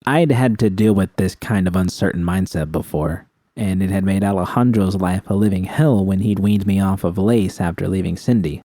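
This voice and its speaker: A man's voice: sits low, mellow, dry recording. A man reading scripted first-person fiction as an audiobook narrator.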